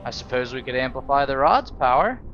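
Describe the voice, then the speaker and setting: A man's voice: medium pitch, sing-song, a little fast, fairly bright tone. A man playing a nervous, eager young male mage in a video game, in dull audio under music. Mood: uneasy, eager.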